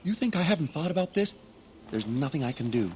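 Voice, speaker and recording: A man's voice: a little high, very animated, fairly quick, darkish tone, slight background noise. A man playing a timid, lovesick young elf hunter apprentice in a video game, hesitant, stammering and easily frustrated. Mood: frustrated, miserable. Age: young adult. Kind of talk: dramatic game dialogue.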